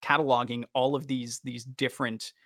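A man talks as a podcast co-host with a forward, bright voice and some gravelly fry. His delivery is enthusiastic but halting, stammering through long, wordy thoughts. The sound is dry and close. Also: mid-range voice, flat delivery, no echo. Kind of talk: casual podcast discussion.

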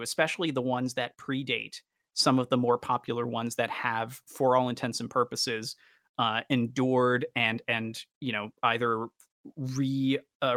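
A man's voice: medium pitch, fairly bright tone, no echo, clean studio sound. A man hosting a podcast, talking casually and with enthusiasm about fandom. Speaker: podcast host.

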